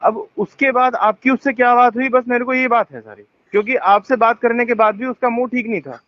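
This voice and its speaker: Man on a phone line, high-pitched, rambling and defensive, packed with fillers, muffled call audio. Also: brisk, dry recording. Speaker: phone caller. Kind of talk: tense phone conversation.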